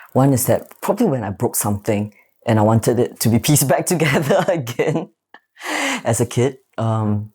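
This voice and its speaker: A man in his thirties with a Singaporean accent, a guest answering interview questions in a measured way, pausing often with soft fillers. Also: medium pitch. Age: 30s. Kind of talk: reflective personal interview.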